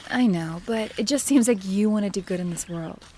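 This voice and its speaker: A woman's voice: medium pitch, a little fast, warm tone, a little hiss or hum, natural voice. A woman playing an affectionate young student fiancée in an audio drama, with a conversational delivery. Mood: affectionate, teasing. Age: young adult.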